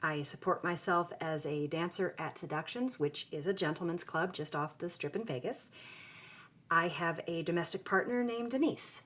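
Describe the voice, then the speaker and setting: An outspoken young woman being interviewed in an audio drama. Her voice is fairly low, and she tells her story in a wry, conversational way. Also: normal speed, fairly bright tone. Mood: wry, candid. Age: young adult. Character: frank young woman, film grad student telling her story.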